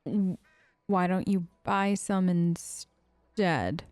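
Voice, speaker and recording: A woman's voice: on the low side, extremely slow, a little mellow, no echo, soft background music. Chatty woman gamer in a dry recording. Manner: chatty, wry, informal. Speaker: gaming video host. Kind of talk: let's play role-play, casual in-character dialogue.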